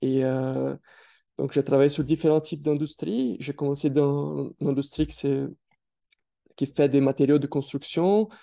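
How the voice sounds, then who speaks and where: Man, thirties, very mellow dark tone, slightly high pitch, halting with many fillers, video call. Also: steady tone, vocal fry. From Brazil. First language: Portuguese. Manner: hesitant, many fillers and restarts. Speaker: guest speaker. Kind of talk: career testimony on a webinar.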